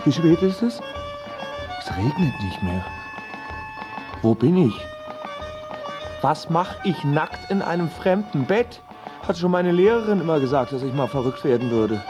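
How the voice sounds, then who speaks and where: Ein Schauspieler spielt in einem Hörspiel einen verwirrten, ängstlichen Träumer, der theatralisch mit sich selbst spricht. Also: male voice, on the high side, big pitch swings, unhurried, long pauses, over music. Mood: bewildered, musing. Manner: theatrical, musing aloud. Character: bewildered, dramatic husband, dreamy and fearful. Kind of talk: radio drama monologue.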